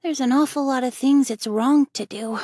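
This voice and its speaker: Woman playing a cheeky small boy, high and childlike, plain folksy speech, dry read. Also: expressive, measured pace, a little mellow, no echo. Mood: curious. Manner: childlike, colloquial, pleading. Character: mischievous small boy, frank and tearful.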